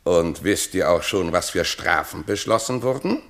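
An actor playing a pompous, hectoring rogue who poses as a haughty courtier in a theatrical radio play. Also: male voice, low voice, lively intonation, normal speed, fairly bright tone. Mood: self-important. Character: swaggering rogue posing as a haughty royal courtier.

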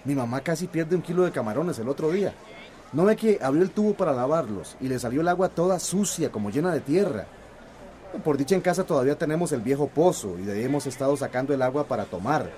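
An actor playing a cheerful, dreamy small-time dairy farmer in a radio drama, chatty and expressive. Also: male voice, a little high, fast, natural voice. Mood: worried chatter.

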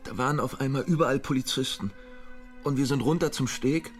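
A man's voice: mid-range voice, natural voice. An actor plays a frightened young man on the run in a radio drama. He stammers and restarts his sentences, and his voice sits in a middle range. Faint music runs behind him. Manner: halting and stammering, with repeated words. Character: frightened young male fugitive, hesitant and haunted. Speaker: suspect turning himself in. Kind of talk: German radio drama acting.

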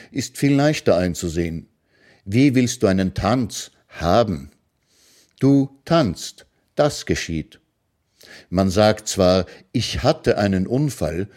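A man reading his own philosophical short prose aloud in a calm, measured way, as a radio literary reading. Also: fairly low pitch.

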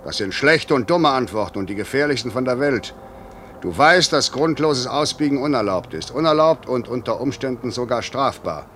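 An actor playing a stern, demanding middle-aged airline director in a 1950s German radio drama, giving clipped commands and impatient rebukes. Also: male voice, medium pitch, expressive, normal speed, fairly bright tone, slight background noise, natural voice. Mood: stern, reproving. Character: stern, demanding airline director, gruff but secretly fond. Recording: slightly muffled 1950s radio recording.

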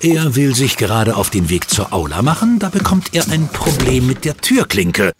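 A man narrating a children's audio drama in an expressive, suspenseful storytelling style. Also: mid-range voice, moderate pace, music in the background.

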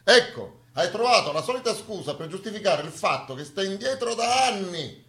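A man's voice: high voice, very animated, normal speed, sharp tone, full character voice. A man playing the North personified as a haughty, impatient swift runner, scornful and theatrical in a comic stage dialogue.

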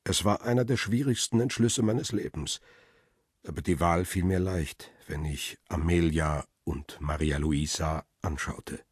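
An actor playing a world-weary photographer who narrates his ordeal in a radio crime play, sombre and deliberate. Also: low-pitched, breathy edge, dry recording, clean studio sound. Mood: sombre, intense. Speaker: first-person narrator. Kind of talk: dramatic scripted narration.

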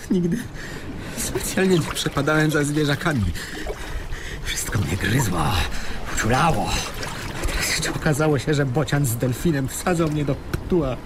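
A man acting in a radio play as a cornered gangster, mocking and easily panicked, with an excitable, taunting delivery. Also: fairly high pitch, moderate pace, noisy background, close to an everyday voice. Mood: agitated.

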